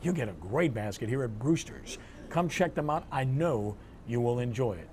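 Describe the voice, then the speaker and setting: A man's voice: medium pitch, lively intonation, moderate pace, darkish tone, a little hiss or hum. A man hosting a sports talk show, delivering commentary and discussion like an anchor. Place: on location at a restaurant.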